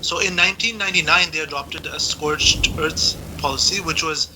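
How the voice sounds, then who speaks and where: A man on a podcast panel explaining things in a casual, tentative and halting way. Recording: noisy low-quality podcast line.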